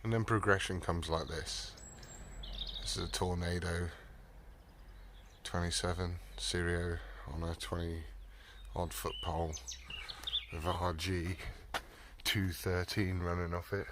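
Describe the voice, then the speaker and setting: A man's voice: low-pitched, level tone, takes it slowly, pauses often, noisy background. A British man chatting casually and unscripted as a hobbyist vlogger, rambling and blunt in his way of talking.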